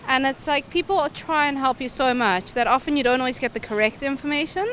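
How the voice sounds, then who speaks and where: A woman's voice: sits high, fairly quick, fairly bright tone. A woman giving casual, conversational answers in a street interview. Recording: outdoor street with traffic noise.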